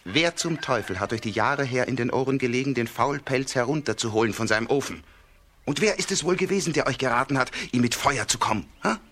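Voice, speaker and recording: An actor playing a sly, boastful red-haired busybody who poses as a friend in a children's radio drama, with a wheedling, self-important delivery. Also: male voice, medium pitch, fairly quick, a bit bright, close to an everyday voice. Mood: indignant, boastful. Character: sly red-haired meddling village man, needling false friend.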